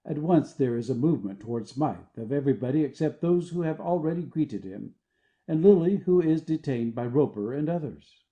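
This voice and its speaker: A very dark, soft-toned narrator reads stage directions as scripted narration. The delivery moves with lively pitch, and the recording is slightly muffled. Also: moderate pace.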